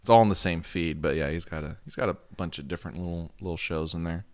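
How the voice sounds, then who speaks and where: A man's voice: sits low, fast. A man in his thirties from Oregon, chatting casually as a podcast guest with an easygoing manner and lots of fillers. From Oregon, United States. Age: 30s. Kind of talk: casual chat.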